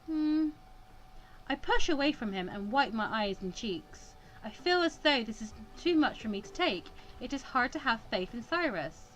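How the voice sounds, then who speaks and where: A woman's voice: on the high side, big pitch swings, normal speed, a bit bright, dull audio. A woman playing a visual novel, reading it aloud expressively with casual reactions and the odd stumble over words.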